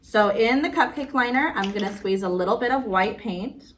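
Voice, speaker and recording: A woman's voice: medium pitch, moderate pace, a bit bright. Woman reading to small children, noisy distant recording. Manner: sing-song, simple explanations aimed at small children. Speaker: children's storyteller and craft host. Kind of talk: picture-book read-aloud and craft demonstration for young children.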